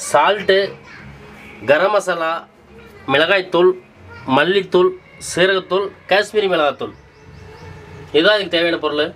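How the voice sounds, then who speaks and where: A man's voice: high-pitched, slight background noise. A man hosting an informal cooking video, instructing step by step and pointing things out to viewers. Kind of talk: informal recipe demonstration. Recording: loud background music. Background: music.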